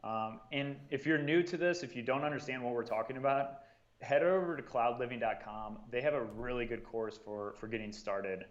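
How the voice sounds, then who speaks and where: A man at an ordinary middle pitch, talking through advice in a relaxed, easygoing way and leaning on "um" and "you know" as he goes. His audio sounds a little dull and muffled, like a remote call. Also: normal speed. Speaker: guest, marketing and sales coordinator. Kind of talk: casual podcast discussion.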